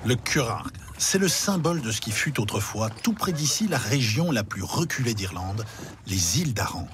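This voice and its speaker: A man narrating a television news travel feature in a measured storytelling delivery. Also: medium pitch, sing-song, noisy background. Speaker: reporter and narrator.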